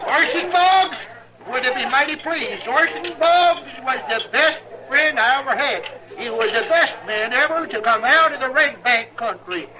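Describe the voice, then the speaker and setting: An actor playing a sly, excitable American drifter who stammers evasively in an old-time radio western. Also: male voice, very high-pitched, measured pace, low-quality recording. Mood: boisterous. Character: sly, nervous, boisterous drifter hosting a wake. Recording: old radio recording, crowd behind.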